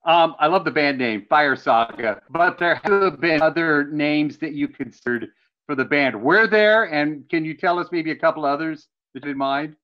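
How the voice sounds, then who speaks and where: A man's voice: a little high, normal speed, dull audio. A male interviewer on a video call, friendly and enthusiastic, using slangy praise for his guest. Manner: friendly, enthusiastic, calls guest "bud" and "dude". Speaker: entertainment interviewer. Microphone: webcam on video call.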